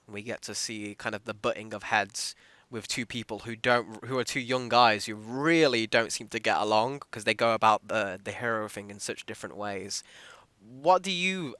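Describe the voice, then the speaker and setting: Guy hosting a casual podcast interview, crisp bright voice, super dry sound. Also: fairly low pitch, dry recording. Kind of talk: casual behind-the-scenes interview.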